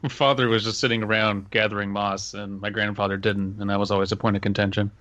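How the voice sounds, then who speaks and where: A man's voice: on the low side, little pitch movement, quick pace, fairly bright tone, no room sound, highs cut off. A man co-hosting a comedy podcast in casual, joking conversation. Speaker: podcast co-host.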